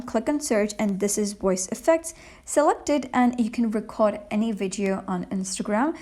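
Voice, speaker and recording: A woman presenting a step-by-step software tutorial, speaking directly to the viewer in an instructional way. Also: fairly high pitch.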